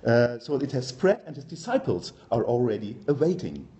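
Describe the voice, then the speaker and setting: A man's voice: mid-range voice, very animated, moderate pace, mellow. Hesitant German-accented male lecturer. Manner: hesitant, many ums and uhs. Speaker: university lecturer. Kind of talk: academic workshop presentation.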